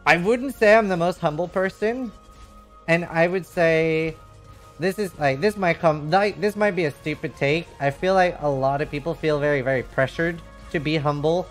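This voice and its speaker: A man's voice: on the high side, moderate pace, faint music behind. A man doing casual live game commentary, reading chat and thinking aloud in a frank, rambling way with some casual swearing. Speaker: livestreamer.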